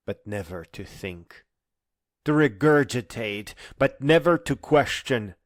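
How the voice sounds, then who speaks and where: A man delivering a scripted spoken-word monologue in a solemn, deliberate way with poetic phrasing and pauses. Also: medium pitch, dry recording, studio quality.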